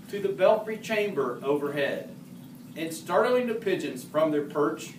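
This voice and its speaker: A man reciting a narrative poem as an amateur reader, occasionally stumbling over harder words and correcting himself. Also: fairly high pitch. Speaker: amateur reader in the role of a historical horseman. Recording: roomy, distant mic, faint background noise.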